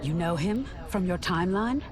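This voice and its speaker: An actress playing a composed, commanding warrior empress in a video game cutscene, speaking with measured authority. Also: female voice, lowish voice, lively intonation, crowd noise, natural voice. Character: poised, commanding warrior empress, female ally and guide. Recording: music behind the voice.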